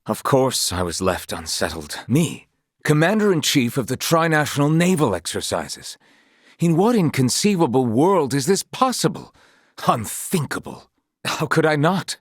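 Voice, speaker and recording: An actor playing an earnest, honorable young knight in a fantasy video game, speaking in formal, archaic, measured phrases. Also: male voice, mid-range voice, big pitch swings, no room sound, clean studio sound. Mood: unsettled, incredulous. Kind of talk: dramatic video game voice acting.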